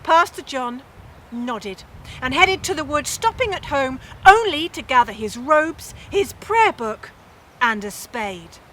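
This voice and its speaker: A woman telling a humorous medieval tale theatrically, with dramatic pauses and emphasis. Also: sits high, big pitch swings, fairly bright tone, slight background noise. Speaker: storyteller.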